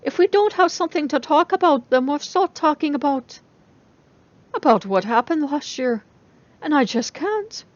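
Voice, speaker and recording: A woman's voice: high voice, animated, moderate pace, dry recording, highs cut off, pitched above the performer's own voice. A woman voicing a troubled, defensive young female student in a story reading, awkward, hesitant and self-conscious. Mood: anxious. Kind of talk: dramatic audiobook reading.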